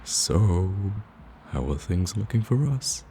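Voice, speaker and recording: A man playing a smug, flirtatious villain in an ASMR roleplay, teasing the listener in a casual, drawling, gravelly voice. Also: big pitch swings, takes it slowly, dark tone, slight background noise, heavily acted. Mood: hopeful flirting. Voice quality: deep, gravelly.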